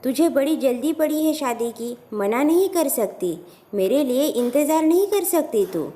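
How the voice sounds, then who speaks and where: Woman as lovesick young man, emotional, insistent, informal, soft dark tone, audio story. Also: sits high, animated, a little slow, voice pushed up. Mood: impatient. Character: emotional, impatient young man in love, student.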